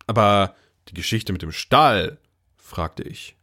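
Low male voice, man acting young puzzled narrator, audio drama, first-person storytelling, measured, understated. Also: animated, bright, forceful tone, heavily acted. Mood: bemused. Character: puzzled boy narrator recounting his talk with God.

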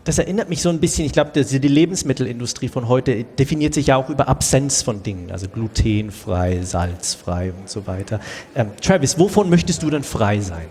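A man's voice: medium pitch, animated, fairly quick, darkish tone, close to an everyday voice. A man playing a chatty talk show host in an improvised live comedy. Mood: chatty, teasing. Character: chatty male host of a casting-show reunion. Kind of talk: improvised comedy audio play. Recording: echoey hall with background noise.

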